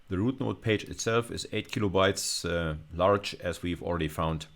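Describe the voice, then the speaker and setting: A male lecturer with a rough voice, thinking aloud through a recorded lesson with many fillers and restarts. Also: low-pitched. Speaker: university lecturer. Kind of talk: recorded video lecture with screen demo.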